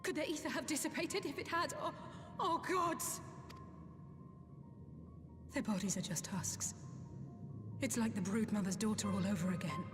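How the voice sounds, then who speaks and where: A woman's voice: fairly high pitch, moderate pace, long pauses, natural voice. A woman playing an earnest, formal young noblewoman in a video game cutscene. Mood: alarmed. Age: young adult. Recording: echoey reverberant hall, music behind.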